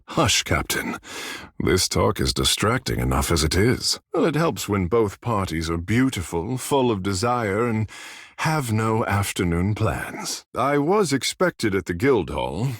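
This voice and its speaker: Low, gravelly, vain fish-man demigod. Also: dry recording, studio quality, exaggerated voice. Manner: theatrical, boastful, languid storyteller. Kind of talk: fantasy video game character dialogue.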